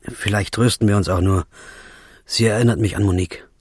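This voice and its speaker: A man's voice: fairly low pitch, fairly even intonation, brisk, slightly rough, no room sound, studio quality. A brooding, cynical man. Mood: evasive. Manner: laconic, detached. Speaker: first-person narrator and lead character. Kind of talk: audio drama acting. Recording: music playing underneath.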